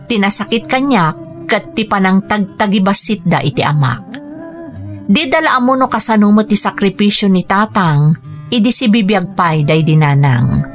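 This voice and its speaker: A woman's voice: mid-range voice, natural voice. A woman acting an earnest, wounded young Ilocano daughter. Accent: Filipino, Ilocano. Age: young adult. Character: earnest nursing-student daughter, hurt on her father's behalf. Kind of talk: Ilocano radio drama acting.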